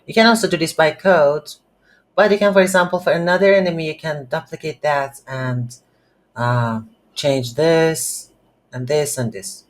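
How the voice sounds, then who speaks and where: A coding instructor who is a non-native English speaker, giving an unscripted game development tutorial and thinking aloud haltingly while working. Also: medium pitch. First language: not English. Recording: noisy, with keyboard typing. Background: computer keyboard typing.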